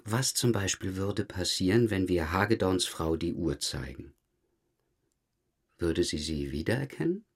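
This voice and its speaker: A low, raspy police detective played by a male actor in a German crime drama. He questions in a dry, insistent way, pressing for answers with pointed remarks. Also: lively intonation, a little slow, exaggerated voice. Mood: probing.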